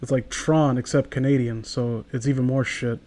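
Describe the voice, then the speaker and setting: A man's voice: medium pitch, steady tone, moderate pace, warm tone. A man hosting a comedy reading, commenting casually as he reads aloud, with a sarcastic, foul-mouthed manner. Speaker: host of a comedy reading channel.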